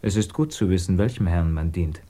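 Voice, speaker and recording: A man's voice: low-pitched, warm tone. A man acting an earnest, guarded émigré physicist, speaking formally and resolutely in a 1950s German radio drama. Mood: guarded, polite. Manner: measured, formal, polite, resolute.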